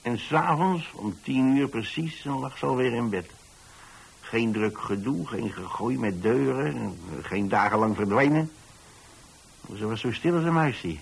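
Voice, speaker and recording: A man's voice: medium pitch, lively intonation, unhurried, gravelly, slightly rough, exaggerated voice, poor audio. An actor playing a kindly retired landlord, an older man telling a story in a hesitant, folksy, conversational way for radio drama. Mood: chatty, fond. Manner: hesitant, folksy, chatty, with small asides. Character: kindly retired landlord telling about his young lodger.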